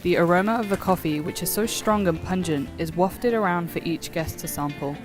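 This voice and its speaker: Woman narrating over guitar music. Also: on the low side. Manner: measured, explanatory.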